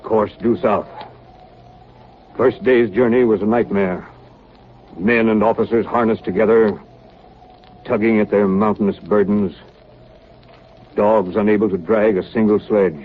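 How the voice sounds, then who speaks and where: Male actor as stern Arctic captain, low-voiced, terse and commanding, 1930s radio style, faint noise. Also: close to an everyday voice. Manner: clipped, terse log-like delivery and barked orders. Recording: muffled, noisy 1930s radio broadcast recording.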